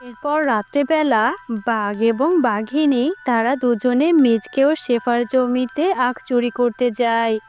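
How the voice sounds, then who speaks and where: A woman's voice: a little high, fairly even intonation, a little slow, a little mellow, faint music behind. A woman reading scripted narration for an animal cartoon story.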